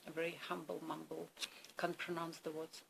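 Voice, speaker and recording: A Ukrainian woman whose first language is Russian talks in a subdued, weary video diary, halting and searching for her words. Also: low voice, level tone, vocal fry, a little hiss or hum, low-quality recording. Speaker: vlogger.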